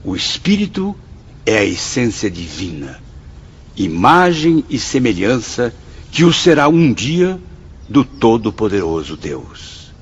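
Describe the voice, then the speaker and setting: An actor with a Brazilian accent playing an earnest, dignified spirit pupil who reads a lesson aloud in a formal, deliberate way for a radio drama. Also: male voice, lowish voice, wildly swooping pitch, breathy edge, exaggerated voice. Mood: solemn, reverent. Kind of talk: solemn radio drama recitation. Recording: dull recording with long hall reverb.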